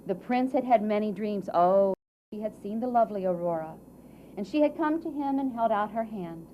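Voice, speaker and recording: A woman narrating a children's fairy tale at a live puppet show, with dramatic, drawn-out phrasing that swells and hushes for emphasis. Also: mid-range voice, expressive. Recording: dull, noisy live event recording.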